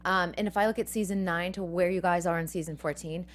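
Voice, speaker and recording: A woman's voice: fairly low pitch, fairly even intonation, quick pace. A woman from the Boston area hosting a casual interview, chatty, sharing her own stories and laughing often. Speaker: interview host.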